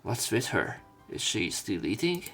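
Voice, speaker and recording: A man's voice: fairly low pitch, big pitch swings, a little slow, pushed and bright. A man narrating a let's play, reading visual novel narration and dialogue aloud. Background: game music.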